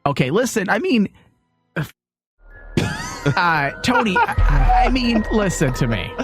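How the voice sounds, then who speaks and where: A man hosting a talk show with casual, comedic banter, excitable and often restarting his sentences. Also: sits high, slow pace, faint music behind. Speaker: podcast host.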